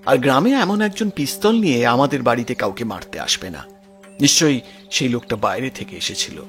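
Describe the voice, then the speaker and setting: Male actor as grieving lonely son, earnest, emotive, very animated pitch, creaky voice, audio detective drama. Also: a little fast, faint music behind. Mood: sombre recollection. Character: grieving, lonely grown son recounting his murdered parents' past.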